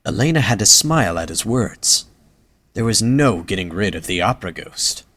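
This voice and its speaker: A man's voice: on the low side, expressive, normal speed, a bit bright. A man reading scripted narration for an audio drama as its narrator.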